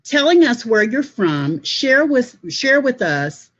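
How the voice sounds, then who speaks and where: A woman's voice: fairly high pitch, sing-song, slow pace, fairly bright tone. A woman hosting a casual online panel chat in an upbeat way, often saying "awesome.". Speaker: host. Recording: dull lo-fi web call audio.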